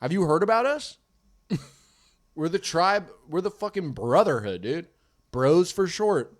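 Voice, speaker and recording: A man's voice: a little high, expressive, measured pace. A man playing a bragging, laid-back Moroccan desert-raider bro in an improvised comedy bit, swearing and slangy. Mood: boastful, rowdy.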